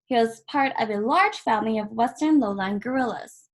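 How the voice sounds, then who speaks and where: Higher-voiced woman reading a children's book aloud. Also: normal speed. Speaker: volunteer story reader.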